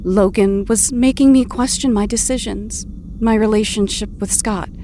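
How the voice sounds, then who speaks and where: A woman acting an earnest, confused heroine back from death in an audio drama, pleading and halting in manner. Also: medium pitch, steady tone, mellow, a little hiss or hum, natural voice. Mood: earnest, vulnerable.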